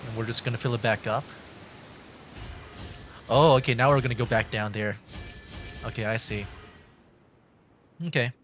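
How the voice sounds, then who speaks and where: A man streaming a game, casually commenting as he works through puzzles, thinking aloud with halting restarts. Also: mid-range voice, steady tone, fairly bright tone, soft background music.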